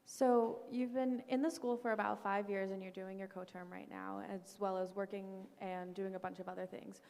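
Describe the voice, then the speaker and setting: A woman moderator asking a debate question in an even tone. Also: medium pitch, normal speed, creaky. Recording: echoey hall, far from the mic.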